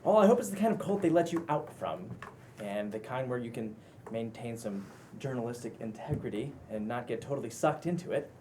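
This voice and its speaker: A man playing a worried, sarcastic young best friend with casual, halting slang in a script read. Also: mid-range voice, lively intonation, darkish tone, natural voice. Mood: pleading. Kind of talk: table read of a pilot script. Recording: faint background noise.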